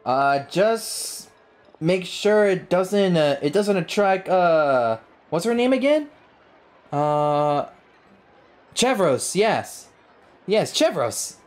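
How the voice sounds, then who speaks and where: A man giving casual, excitable game playthrough commentary, reacting and repeating himself as he goes. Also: sits high, very slow. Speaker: gaming YouTuber. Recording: game music behind voice.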